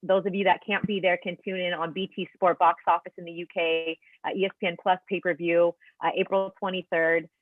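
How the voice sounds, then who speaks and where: Over a tinny, phone-like line, a woman with a lowish voice moderates a press call. She speaks politely, handing over to questioners and guests. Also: little pitch movement, brisk, hardly any pauses, dry recording. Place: remote media conference call.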